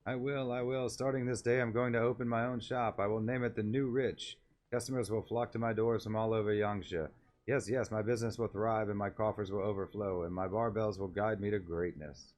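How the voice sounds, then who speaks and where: A man voicing an excitable, hopeful catfish-like merchant creature from a game, repeating his phrases eagerly. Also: on the low side, a little fast, natural voice. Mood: excited, hopeful. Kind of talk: voiced reading of game dialogue.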